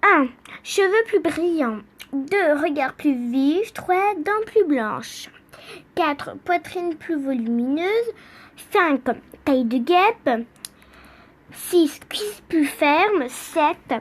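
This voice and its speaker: A young female reader reading a children's book aloud in a halting, playful way, word by word. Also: high-pitched, animated. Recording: faint background noise.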